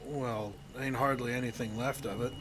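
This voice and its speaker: A man's voice: medium pitch, steady tone, normal speed, noisy background, lightly acted. Man playing a guarded, folksy fishing guide. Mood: reluctant. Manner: folksy, ungrammatical rural small-town talk. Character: guarded, plain-spoken male fishing guide, haunted by his past. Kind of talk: audio drama acting.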